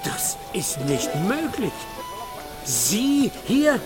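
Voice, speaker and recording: An actor in a radio drama playing a brisk, bossy master detective who is boastful and impatient. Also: male voice, sits high, huge pitch swings, loud music behind the voice, full character voice. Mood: astonished. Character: triumphant, brisk, self-satisfied master detective.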